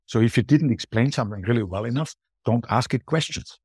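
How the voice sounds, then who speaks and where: A man's voice: medium pitch, fairly quick, a little mellow, husky, no room sound, studio quality. A middle-aged or older man with an Austrian accent and German as his native language, giving emphatic, conversational answers as an interview guest. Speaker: podcast guest, booking strategist.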